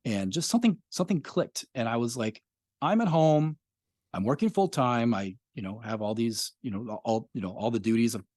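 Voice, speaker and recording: A man's voice: mid-range voice, lively intonation, normal speed, a little mellow, no echo, studio quality. A man in his fifties, a podcast creator talking as a guest in a casual interview, rambling with many fillers.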